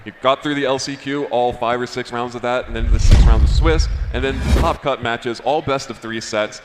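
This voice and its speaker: A man's voice: mid-range voice, fairly even intonation, normal speed. A man commentating and interviewing live at a video game tournament, with an enthusiastic, congratulatory manner. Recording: hall reverb, background noise.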